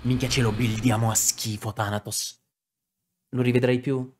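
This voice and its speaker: A man's voice: medium pitch, level tone, moderate pace, darkish tone, a little hiss or hum. A man in his thirties narrating and commenting on a game playthrough in a theatrical, jokey manner. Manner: theatrical, jokey, slips into character voices. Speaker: streamer. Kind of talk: animated game playthrough, reading dialogue aloud. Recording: game music behind voice.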